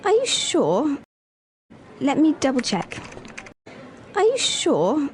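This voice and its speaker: Woman reading a receptionist, high bright lilting voice, careful clear lines for learners. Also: wildly swooping pitch, slow pace, slight background noise, heavily acted, poor audio. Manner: clear, careful scripted delivery. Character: woman calling in sick, then a polite hotel receptionist. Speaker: dialogue reader in an English listening lesson. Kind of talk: scripted role-play dialogue.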